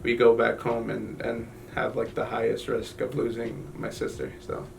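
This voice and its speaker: A young man from Guatemala telling his family's story in an interview, halting, with many fillers and restarts. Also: medium pitch, monotone, gravelly, far from the mic, a little hiss or hum. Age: young adult.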